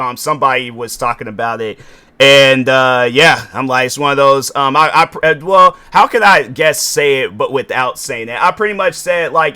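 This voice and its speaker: A man's voice: mid-range voice, bright, forceful tone, clean tone. A man, giving a rambling casual commentary on his podcast with many fillers and restarts. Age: 20s. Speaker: podcast host.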